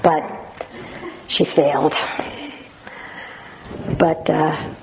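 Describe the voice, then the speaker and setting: A woman with a naturally loud voice reading a passage dramatically with up-and-down intonation, then sharing her memories in a reflective way. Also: deep voice, little pitch movement, extremely slow, lo-fi sound, noisy background. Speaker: Zen practitioner sharing memories of a teacher.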